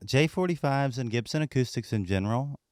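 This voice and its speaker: A man from Texas with a deep voice, chatting as a guest musician about his gear in a relaxed, easygoing way. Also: brisk, no echo, studio quality. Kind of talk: casual interview chat.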